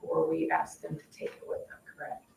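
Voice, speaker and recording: A woman's voice: fairly quick, a little mellow. A woman taking part in an informal discussion at a meeting. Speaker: meeting participant. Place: indoor public meeting room. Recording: echoey room, distant mic, faint noise.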